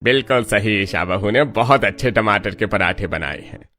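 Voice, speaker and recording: A man voicing an exasperated male elder of a household in a family story cartoon, animated in delivery. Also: on the low side, normal speed, soft background music. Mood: approving.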